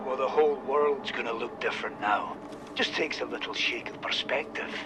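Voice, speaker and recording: Scornful rogue-soldier villain over loud music, a male actor. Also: medium pitch, normal speed, close to an everyday voice. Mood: scornful, taunting. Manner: taunting, sardonic, speechifying. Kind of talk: cinematic video game acting.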